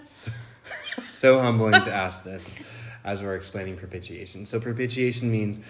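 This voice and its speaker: A man's voice: lowish voice, a little slow, long pauses, very noisy. A man co-hosting a casual Bible study podcast, thoughtful and enthusiastic, often restarting his phrases. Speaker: podcast co-host.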